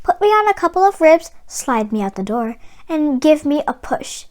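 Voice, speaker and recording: A woman's voice: sits high, sing-song, moderate pace, darkish tone. A woman voicing a bold, folksy talking jukebox with sassy, theatrical delivery in a children's storybook. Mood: urgent, scheming. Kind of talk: children's storybook read-aloud.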